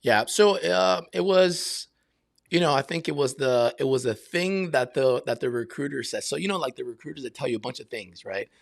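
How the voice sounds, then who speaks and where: A man in his fifties with a creaky, gravelly edge to his mid-pitched voice talks as a podcast guest. He answers casually and reflectively, in informal language. The sound is close and dry. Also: animated, moderate pace, no echo. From Brazil and Miami, United States. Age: 50s. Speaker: podcast guest, military veteran and realtor. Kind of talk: casual conversational interview answers.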